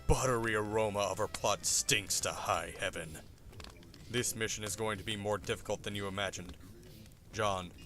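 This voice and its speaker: Wry, lowish-voiced male comic narrator. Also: bright, forceful tone. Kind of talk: dramatic scripted narration of a comic.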